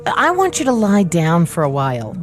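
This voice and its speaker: An actress playing a caring army nurse, teasing and no-nonsense, in a radio drama. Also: female voice, lowish voice, wildly swooping pitch, normal speed. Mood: brisk concern. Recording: dramatic music behind the voice.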